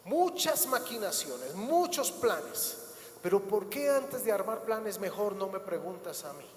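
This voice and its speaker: A man's voice: high voice, big pitch swings, a little slow. A male pastor delivering an emphatic sermon, repeating words and building to rising bursts. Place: church hall. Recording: echoey church hall, faint ambience.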